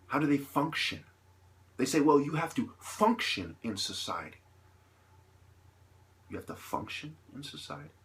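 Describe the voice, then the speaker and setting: A man delivering an impassioned, unscripted opinion monologue as a vlogger, with halting phrasing, repeated points and rhetorical questions. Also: on the high side, big pitch swings, vocal fry, a bit bright.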